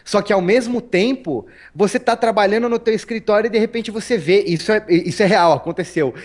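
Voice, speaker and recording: Brief: Paulistano male guest, relaxed storyteller, raised pitch, lots of restarts and "né?". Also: fairly quick. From Brazil. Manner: chatty, storytelling, frequent restarts and tag questions. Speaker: interview guest. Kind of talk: casual interview talk.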